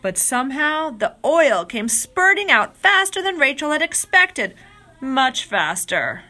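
A woman reading a children's picture book aloud with expressive, drawn-out dramatic phrasing. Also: high-pitched. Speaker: storybook reader.

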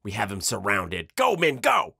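A man's voice: lowish voice, huge pitch swings, moderate pace, gravelly, pushed and bright, husky, exaggerated voice. A man playing an urgent police commander in an audio drama, barking orders at his squad. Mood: commanding. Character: urgent male police commander hunting a monster.

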